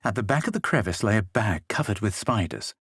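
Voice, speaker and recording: A man playing a self-assured, aloof adventurer hero in a video game, speaking with measured, dramatic delivery. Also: lowish voice, sing-song, fairly bright tone, slightly rough, full character voice. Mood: grave, narrating. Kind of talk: video game voice lines, scripted narration and barks.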